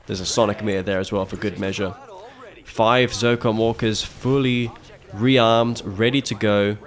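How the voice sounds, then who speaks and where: A young man giving casual, animated play-by-play commentary on a strategy match he played, with frequent fillers and restarts. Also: on the low side, unhurried. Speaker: video game caster commentating his own match.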